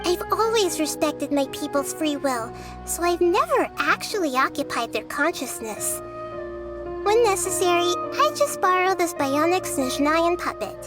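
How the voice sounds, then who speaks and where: A young child goddess from a video game, speaking in a high, girlish voice. She sounds wise, gentle and earnest, with thoughtful, formal phrasing over background music. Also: big pitch swings, heavily acted. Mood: matter-of-fact. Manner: measured, thoughtful, formal explanations. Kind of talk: video game cutscene voice acting.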